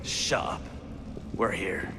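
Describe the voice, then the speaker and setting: Male assassin guide: low, terse, scornful game character, long echo around him. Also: takes it slowly, heavily acted. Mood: irritated. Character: gruff, proud, prickly male assassin guide. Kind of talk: dramatic video game acting. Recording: long reverb, clear background noise.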